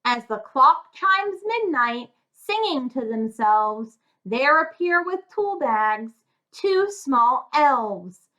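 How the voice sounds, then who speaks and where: A woman reads a children's tale in a high, bright voice. She takes it slowly and with a sing-song lilt, speaking directly to young listeners like a tutor. The recording is a little muffled. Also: big pitch swings. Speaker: children's story reader and tutor. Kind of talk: read-aloud of a rhyming children's fairy tale.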